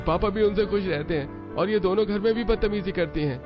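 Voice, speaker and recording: A man's voice: high-pitched, fairly quick, dull audio, over music, voice pushed up, lightly acted. A man voicing a defensive, complaining young man in a cartoon family drama. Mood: regretful, complaining.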